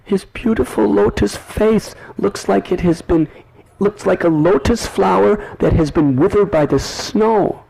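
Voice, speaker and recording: A man voicing an anxious, loving village mother who frets and pleads in a high, tearful wail, within a devotional storytelling. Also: a little slow, vocal fry, warm tone, husky, exaggerated voice, rough recording. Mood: distraught.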